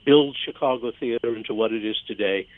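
A man's voice: medium pitch, brisk. An American man speaking as a theater critic in a conversational radio discussion. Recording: slightly dull, band-limited radio sound.

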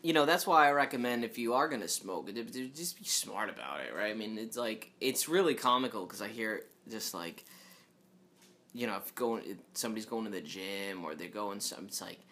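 A man hosting a casual solo podcast, rambling in a low, fairly monotone voice with many restarts and fillers, and joking asides to his listeners. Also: moderate pace. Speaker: podcast host.

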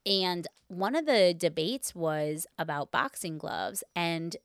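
Casual, slangy woman podcaster, slightly low voice. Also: expressive, slow, clear, resonant tone, no echo, studio quality. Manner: informal, slangy, heavy use of "like". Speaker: podcast co-host telling a story. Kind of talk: casual conversational podcast chat.

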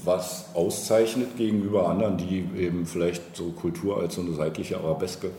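Deep-voiced, hesitant man in an echoey room. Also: steady tone, normal speed, dark tone. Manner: hesitant, searching for words, wordy. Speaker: politician being interviewed. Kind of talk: conversational political interview. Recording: roomy, echoing, with background noise.

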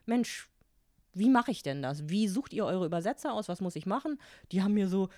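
A German-speaking woman in her forties, a guest telling lively stories from her life in a casual interview and acting out her own quoted thoughts. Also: medium pitch, a little fast, dry recording, clean studio sound.

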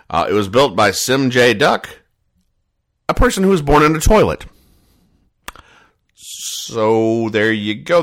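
A man hosting a casual comedy podcast, retelling comic strips in a halting, conversational way and explaining the jokes with mock earnestness. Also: mid-range voice, fairly bright tone. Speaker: podcast host.

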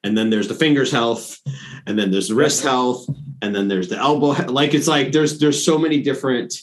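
A man's voice: medium pitch, fairly quick. Guy host, middle aged, casual rambly chat, normal mid-range voice. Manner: informal, rambling. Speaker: interviewer, host.

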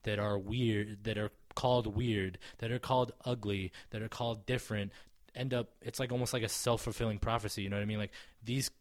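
A man in his thirties talks loosely on a podcast, circling back on himself with lots of likes and restarts. His voice sits on the low side, and the recording is close and dry with no room sound. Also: fairly even intonation, a little fast, no room sound. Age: 30s. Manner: rambling, many restarts and fillers, swears casually. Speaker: podcast co-host. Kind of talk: casual chat.

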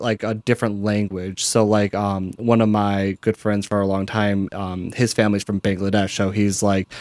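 A man's voice: lowish voice, no echo. A young man with a Chicago accent, chatting casually and rambling with lots of fillers. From American Midwest. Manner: rambling, heavy use of "like" and "um". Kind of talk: casual chat.

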